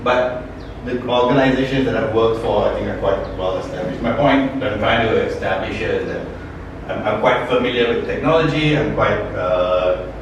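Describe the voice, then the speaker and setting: A man's voice: on the low side, moderate pace. A man in his forties from Malaysia, giving a cybersecurity training session in a conversational way, often correcting himself and asking rhetorical questions. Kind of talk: workshop presentation. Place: training room. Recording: echoey room, far from the mic, noticeable noise.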